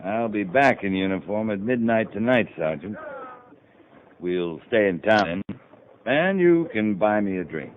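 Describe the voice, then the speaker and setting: An American actor playing a dry, blunt, weary cavalry captain in a 1950s radio western. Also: male voice, on the low side, very animated, measured pace. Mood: dry, amused. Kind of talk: 1950s radio drama acting. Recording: muffled, noisy 1950s radio recording.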